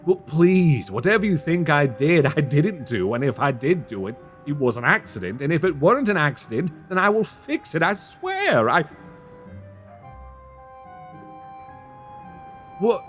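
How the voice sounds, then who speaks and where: A man's voice: fairly high pitch, sing-song, moderate pace, hardly any pauses, a bit bright, soft background music. A man playing a cheeky, panicky young rogue in a fantasy audio roleplay, rambling in flustered, colloquial banter. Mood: pleading, indignant.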